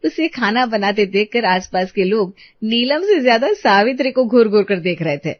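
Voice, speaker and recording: A woman's voice: mid-range voice, expressive, moderate pace, no echo, lo-fi sound. A woman storyteller reading a scripted moral tale as narration.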